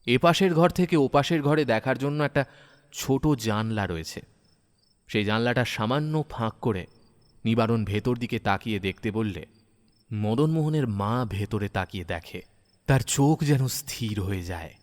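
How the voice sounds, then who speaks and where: A man narrating a scripted horror story dramatically, with suspenseful, deliberate phrasing. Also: medium pitch.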